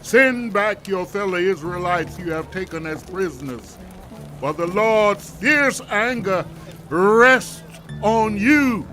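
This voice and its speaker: A man's voice: sits high, animated, slow pace, music in the background. An actor playing a stern, indignant prophet who proclaims his rebuke in a dramatized audio Bible. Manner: declamatory, forceful, proclaiming.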